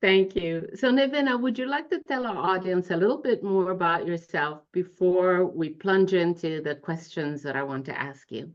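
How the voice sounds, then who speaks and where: Deep-voiced lady host, polite, kinda muffled audio. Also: moderate pace, hardly any pauses. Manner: polite, formal introductions. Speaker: interviewer and host. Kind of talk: hosted interview. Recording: dull lo-fi sound.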